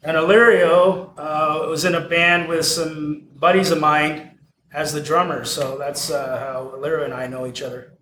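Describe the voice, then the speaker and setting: A man who sings in a band, talking in a relaxed, hesitant way with many pauses. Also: a little high, roomy sound, slight background noise, low-quality recording. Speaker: band singer. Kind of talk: casual chat.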